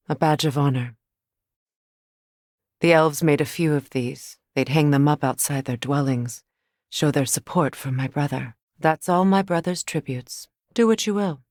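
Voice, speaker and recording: A grave warrior goddess from a video game, with a low-pitched voice. She is composed and solemn, speaking in measured, clipped remarks. The sound is dry and close. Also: darkish tone, no echo.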